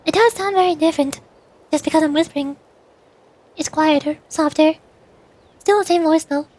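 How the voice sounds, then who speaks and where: A woman's voice: high voice, moderate pace, darkish tone, low-quality recording. A woman chatting casually on a livestream, rambling, repeating and correcting herself as she talks about voice acting. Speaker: streamer and amateur voice actor.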